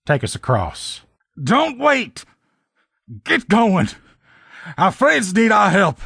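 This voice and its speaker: A man voicing a gruff, loyal military lieutenant in a video game, with blunt, profane, folksy soldier talk. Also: sits high, huge pitch swings, slow pace, sharp tone, dry recording, studio quality, exaggerated voice. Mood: urgent. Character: gruff, loyal military lieutenant in power armor, protective comrade. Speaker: voice actor in a game mod. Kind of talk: video game character dialogue lines.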